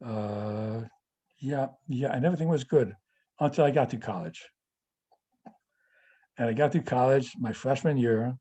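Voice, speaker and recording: A man in his eighties, a native French speaker from France, recounting personal memories to an audience in a halting way, with frequent restarts and "yeah" fillers. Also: medium pitch, warm tone, breathy edge. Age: 80s. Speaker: guest speaker answering audience questions.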